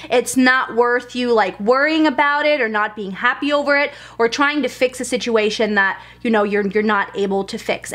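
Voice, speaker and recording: High-voiced chatty woman, German native speaker. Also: a bit bright. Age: 20s. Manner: chatty, candid, lots of fillers and self-asides. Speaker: lifestyle vlogger. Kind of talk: casual talk to camera.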